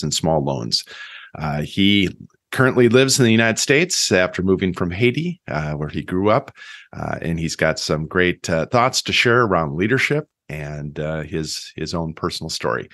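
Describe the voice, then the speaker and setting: A man with a deep voice hosts an interview podcast. His delivery is conversational and a bit hesitant in its phrasing. The sound is dry, with hardly any room to it. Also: fairly bright tone, no room sound.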